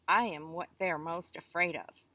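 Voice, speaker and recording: A woman's voice: lowish voice, steady tone, moderate pace, pushed and bright, distant mic, a little hiss or hum. A woman voicing a boastful, self-satisfied male thief in a children's storybook. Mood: triumphant. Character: boastful, triumphant male thief hiding on roof.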